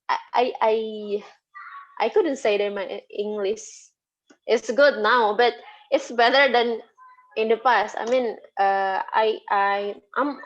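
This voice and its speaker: Woman, Indonesian accent, Sundanese native speaker, higher-pitched voice, slow halting delivery, casual interview. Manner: hesitant, halting, repeats words. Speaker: lecturer being interviewed. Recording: dull, lo-fi audio.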